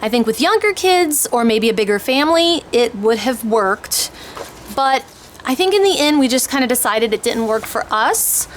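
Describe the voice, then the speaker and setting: A woman's voice: a little high, lively intonation, moderate pace. A woman giving a casual, explanatory product overview in an informal, hesitant way, as if thinking aloud. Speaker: homeschooling parent reviewing language kits. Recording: clear background noise.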